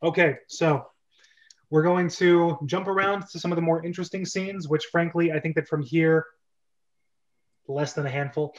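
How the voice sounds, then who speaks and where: A man hosting a casual livestreamed group chat about scripts, talking in an informal, rambling way. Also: fairly high pitch. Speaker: host of an online script reading club. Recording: dull, lo-fi online stream audio.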